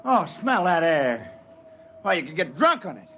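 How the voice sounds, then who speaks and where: A man acting a rowdy, boorish spaceman with a loud, brash manner in a 1950s radio drama. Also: a little high, sing-song, unhurried, highs cut off, exaggerated voice. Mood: restless, eager. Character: rowdy, boorish male spaceman itching to drink.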